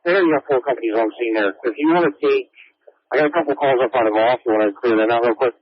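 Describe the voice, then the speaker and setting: A man's voice: mid-range voice, fast, no room sound, lo-fi sound. A man dispatching emergency fire traffic over a two-way radio scanner feed, speaking in clipped, procedural phrases.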